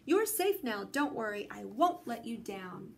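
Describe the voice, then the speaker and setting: A woman's voice: a little high, sing-song, unhurried, vocal fry, slight background noise, full character voice. A woman doing a big, earnest, kind elephant. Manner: earnest and protective.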